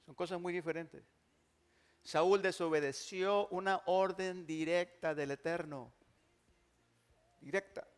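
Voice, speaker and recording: A male pastor, preaching emphatically and moving from loud declarations to hushed, drawn-out phrases. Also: sits high, fairly even intonation, clear, resonant tone. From Mexico. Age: 60s. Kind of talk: sermon. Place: large church hall. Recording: long church hall reverb.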